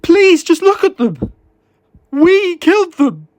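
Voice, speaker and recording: A man's voice: extremely high pitch, sing-song, slow pace, no echo, voice pushed up, heavily acted. A man voicing a guilt-stricken worker who is breaking down, pleading desperately in a shaking voice for an audiobook story. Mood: anguished pleading. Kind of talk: dramatic audiobook character acting.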